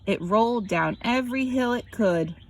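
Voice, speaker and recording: A woman reads a picture book aloud as scripted narration, animated and drawing out words for emphasis. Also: on the high side, normal speed. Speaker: children's story reader.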